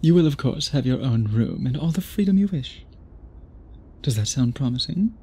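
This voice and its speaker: A man playing an obsessive, courtly vampire suitor in an intimate ASMR roleplay, breathy and husky, with formal old-fashioned phrasing. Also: medium pitch, lively intonation, normal speed, mellow. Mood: courtly, enticing. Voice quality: breathy, husky, often whispery.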